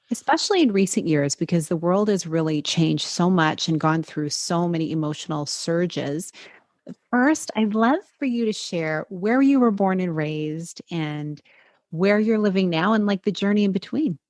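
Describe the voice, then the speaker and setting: A woman's voice: lowish voice, darkish tone, no room sound, clean studio sound. A woman hosting a podcast and working as a health coach, reading a polished, upbeat intro before the interview. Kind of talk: scripted intro then interview.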